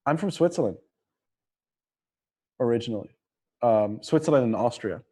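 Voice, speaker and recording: A man with a slightly American accent speaks in a mellow, relaxed voice at an average pitch. He is an interview guest on a livestream, rambling informally and speaking bluntly in casual language. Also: moderate pace. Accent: somewhat American. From Singapore. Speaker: interview guest, drone company representative.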